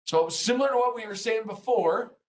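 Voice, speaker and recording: Guy leading a business training webinar, voice on the higher side, informal and encouraging. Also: fairly quick. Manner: informal, encouraging, checks in with listeners. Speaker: webinar presenter, senior consultant and trainer. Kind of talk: interactive business lesson, explaining earnings call.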